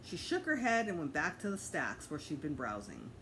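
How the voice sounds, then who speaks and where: A woman teacher reading a children's storybook aloud in an expressive narrating style. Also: low voice, moderate pace, slight background noise, low-quality recording.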